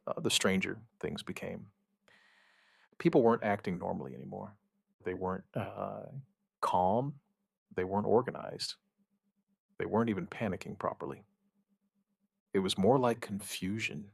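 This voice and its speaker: An actor playing a shaken outbreak survivor, giving his account in halting, somber, dramatic narration with short deliberate phrases. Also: low voice, creaky, breathy edge, no room sound, studio quality, exaggerated voice. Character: shaken male outbreak survivor recounting events, dryly stubborn. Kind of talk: dramatic first-person scripted narration, witness account.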